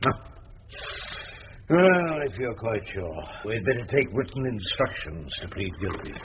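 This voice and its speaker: An actor playing an ageing, wry English barrister in a British radio drama, argumentative and rhetorical, with fond old-fashioned endearments. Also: male voice, lowish voice, very animated, measured pace, highs cut off, slight background noise. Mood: coaxing. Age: older.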